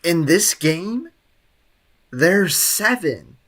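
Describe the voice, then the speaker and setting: A man's voice: sits high, big pitch swings, extremely slow, a bit bright. A man streaming a video game, casually rambling, joking and chatting with viewers. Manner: rambling, joking, talks to chat. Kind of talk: casual gameplay commentary.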